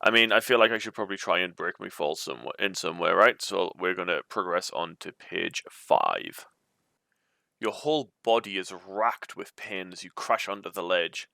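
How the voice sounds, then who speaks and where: A man's voice: low-pitched, normal speed, gravelly, pushed and bright, dry recording, studio quality. A man reading an adventure storybook aloud as its narrator. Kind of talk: reading a choose-your-own-adventure book aloud.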